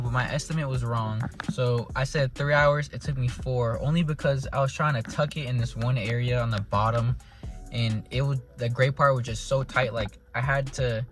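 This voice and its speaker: A man casually narrating a car vlog in a laid-back, rambling way with plenty of slang, recorded in and around his car at home. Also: mid-range voice. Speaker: car vlogger.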